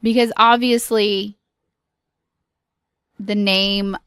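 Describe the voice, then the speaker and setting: A woman hosts her own podcast and talks slowly and earnestly, with a mid-range voice. She pauses often and says um a lot as she encourages her listeners. The sound is dry and close. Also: medium pitch, level tone, fairly bright tone, clean tone, dry recording. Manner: earnest, encouraging, slow with pauses and filler ums. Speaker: podcast host. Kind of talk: solo podcast monologue.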